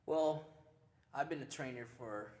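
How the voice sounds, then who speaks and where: A man's voice: mid-range voice, a little slow, darkish tone. A man in his forties, a personal trainer talking in an upbeat, joking way in an interview. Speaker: personal trainer, gym co-owner. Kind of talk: casual interview.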